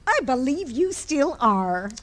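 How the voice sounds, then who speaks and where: A woman playing a teasing, lively wife. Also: high-pitched, slow, exaggerated voice. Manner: teasing, with frequent laughter. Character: cheerful teasing wife, good-natured and knowing. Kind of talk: live stage radio play.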